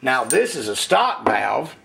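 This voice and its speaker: A man's voice: medium pitch, sing-song, takes it slowly, creaky, sharp tone, husky. A man talking casually as he demonstrates engine head porting at a workshop bench, in a plainspoken, folksy way. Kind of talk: hands-on shop demonstration.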